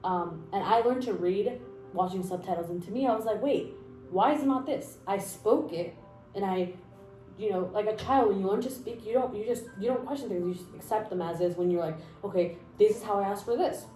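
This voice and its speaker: A young woman talks through a language lesson in a loose, chatty, encouraging way, full of fillers and little asides. Her voice sits at an ordinary middle pitch. The room is echoey, with faint music underneath. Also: fairly quick, dark tone. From Mexico. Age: 20s. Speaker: language teacher. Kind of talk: casual spoken lesson. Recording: roomy echo with faint music.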